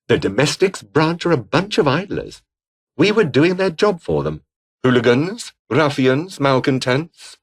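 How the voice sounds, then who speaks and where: A male actor playing a haughty, bitter, scheming fallen aristocrat and rebel officer in a video game, with a British accent and a pompous, sneering, formal manner. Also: mid-range voice. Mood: disdainful. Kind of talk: video game character dialogue.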